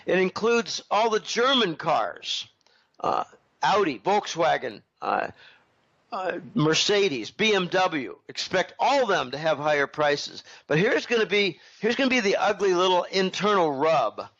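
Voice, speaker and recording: A man giving a rambling interview answer while recovering from a head cold, clearing his throat often. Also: sits high, very animated, unhurried, a bit bright, dull audio. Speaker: interview guest. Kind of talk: casual interview commentary.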